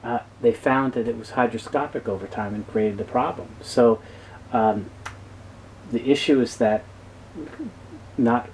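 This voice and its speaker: Male expert, mid-pitched voice, explanatory and halting delivery, noticeable background noise. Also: fairly even intonation, a little mellow, rough recording. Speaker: guest expert on reel-to-reel tape. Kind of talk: technical explainer interview.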